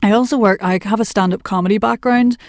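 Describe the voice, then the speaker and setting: A woman working as a voice and accent coach, talking in a chatty, animated way in a podcast interview. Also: mid-range voice, fast talker, no echo, studio quality. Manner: chatty and animated, laughs often, puts on voices for anecdotes. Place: work commute between Manchester and Liverpool.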